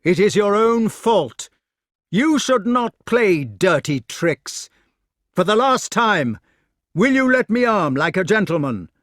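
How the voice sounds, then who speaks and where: A man's voice: high voice, big pitch swings, slow pace, fairly bright tone, no echo, clean studio sound, pitched above the performer's own voice, exaggerated voice. A man voicing an earnest, courteous knight in a storybook, formal and indignant as he protests. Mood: aggrieved, resolute.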